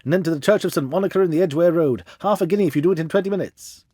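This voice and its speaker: Flustered, high-pitched gentleman in a hurry man acting. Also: rapid-fire, no room sound, studio quality, close to an everyday voice. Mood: urgent. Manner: shouting, breathless urgency. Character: flustered, urgent young gentleman bridegroom in a great hurry.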